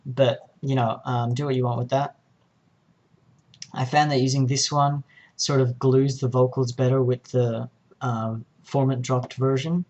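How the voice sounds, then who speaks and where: A man narrating an informal software tutorial, explaining each step in a hesitant way. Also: medium pitch, level tone, a little slow, dull audio. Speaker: tutorial presenter.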